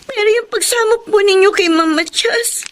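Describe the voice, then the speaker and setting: An actress with a Filipino accent playing a hurt, pleading young daughter in a radio melodrama. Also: female voice, extremely high pitch, normal speed, slight background noise. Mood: hurt, questioning.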